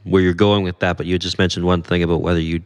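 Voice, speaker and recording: A male podcast guest speaks earnestly in casual conversation, repeating words as he gathers his thoughts. His voice is very deep and holds an even, flat pitch. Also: fast, clean tone.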